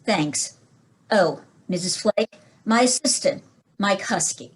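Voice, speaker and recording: A woman playing a brisk, dry-witted male police inspector in a 1940s-style radio mystery. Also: medium pitch, animated, husky. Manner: brisk, dry, matter-of-fact.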